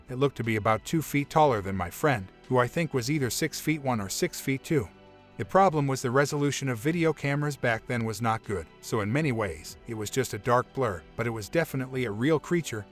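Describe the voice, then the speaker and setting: Man calmly reading a first-person story. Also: medium pitch. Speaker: narrator. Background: faint background music.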